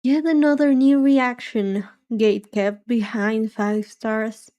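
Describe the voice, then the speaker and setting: A soft-spoken woman chats casually on a livestream, thinking aloud with dry, wry humor. Her voice is mellow and a little high, and she takes her time with her words. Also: steady tone, slow pace, clean tone, dull audio. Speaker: livestreamer, vtuber. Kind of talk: casual chat reacting to a game livestream.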